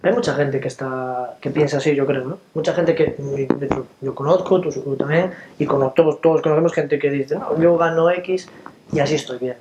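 Relaxed man prompting questions. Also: a little high, fairly quick, muffled recording, a little hiss or hum. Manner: relaxed, prompting, reads from a tablet. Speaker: interviewer reading sayings. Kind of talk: studio talk show discussion. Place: studio set, seated on a sofa.

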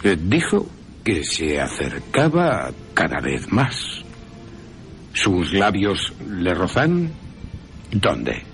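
A man playing a courteous vampire-hunting professor who questions softly and insistently. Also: sits low, huge pitch swings, very slow, long pauses, faint music behind, exaggerated voice. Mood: gentle, probing. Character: gentle, probing older professor, a vampire hunter.

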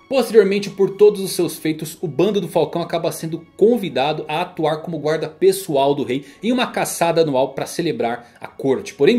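Brazilian guy telling an epic story, kinda high voice, bit of music behind him. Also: darkish tone. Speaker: video narrator. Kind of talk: scripted dramatic story narration.

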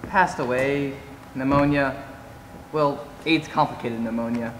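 A man's voice: medium pitch, fairly even intonation, unhurried, a bit bright, close to an everyday voice. A man playing a frank young friend who reminisces in a stage play. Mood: matter-of-fact. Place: theater stage, indoors. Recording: distant, reverberant theater, faint noise.

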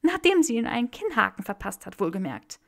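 A woman voicing a chatty, excitable young male rebel in a German audiobook reading of fan fiction. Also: a little high, fairly quick, creaky, voice pushed up, heavily acted. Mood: eager gossiping. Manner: excitable, blurting.

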